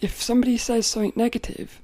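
A woman with a rough, cracking voice, hosting a podcast and thinking aloud in a rambling way, often laughing. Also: a little high, level tone, quick pace, dark tone, no room sound. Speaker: podcast host. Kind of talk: casual reflective monologue.

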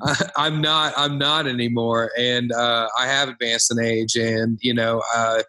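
A man's voice: mid-range voice, flat delivery, unhurried, pushed and bright. A man on a video call, speaking candidly and hesitantly with many fillers. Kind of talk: casual group video chat.

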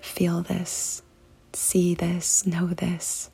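Breathy, husky woman guiding a meditation. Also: lowish voice, completely flat delivery, low-quality recording. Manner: soft, hushed, deliberate with pauses. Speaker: podcast host and meditation guide. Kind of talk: guided meditation and prayer. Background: music.

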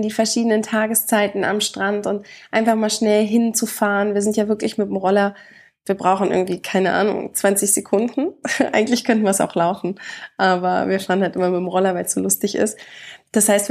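A woman's voice: medium pitch, a little mellow. Woman with a stuffy, congested nose. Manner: chatty and rambling, with many restarts. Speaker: podcast host. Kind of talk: casual personal podcast chat. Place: home bedroom, sitting on a bed in the evening.

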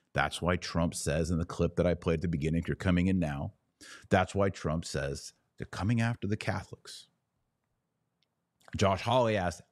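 A man hosting a podcast, giving opinionated religious commentary in an emphatic, declamatory manner with pauses between short phrases. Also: sits low, darkish tone, dry recording, clean studio sound.

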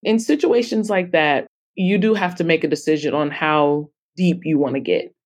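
A woman's voice: low voice, normal speed. A woman speaking as a guest in a conversational podcast interview, explaining her points emphatically. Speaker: guest author and anthology publisher.

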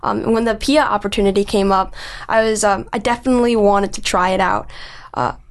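Woman w a childlike voice, mid pitch, bit of vocal fry, chatting about her voice work. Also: fairly quick. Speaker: storyboard artist and voice actor. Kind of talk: casual interview answers.

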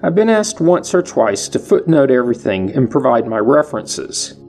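Gravelly-voiced man hosting a history podcast. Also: medium pitch, big pitch swings, normal speed, darkish tone, soft background music. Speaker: podcast host. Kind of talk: scripted solo podcast monologue.

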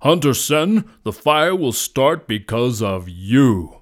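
A man voicing a mighty lion, king of the forest, with a deep, powerful, booming voice and solemn authority. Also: sing-song. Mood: ferocious. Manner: solemn, slow, authoritative proclamations.

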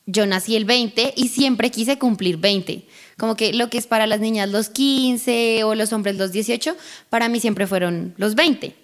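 A woman's voice: on the high side, a little slow. A woman in her twenties with a Bogotá accent, a singer being interviewed, talking in a bubbly, rambling way with laughs. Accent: Bogotá Spanish. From Colombia. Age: 20s. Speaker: singer guest. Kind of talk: casual interview.

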